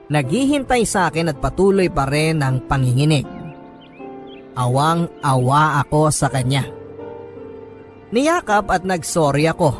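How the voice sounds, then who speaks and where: Higher-pitched man narrating a drama. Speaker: storyteller reading a listener's letter. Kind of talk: dramatic scripted radio narration.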